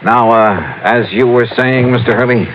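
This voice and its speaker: An actor playing a brisk, probing insurance investigator in an old-time radio detective drama, narrating and questioning in a clipped, wry way. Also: male voice, medium pitch, fairly even intonation, muffled recording, slight background noise, close to an everyday voice. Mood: probing, patient. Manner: clipped, businesslike first-person narration and questioning. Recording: vintage radio drama, organ-style music bed.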